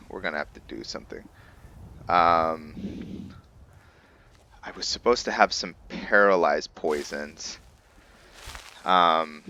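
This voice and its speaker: A man giving casual let's play commentary as host of a roleplay series, thinking aloud in a halting way. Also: low-pitched, sharp tone, slight background noise.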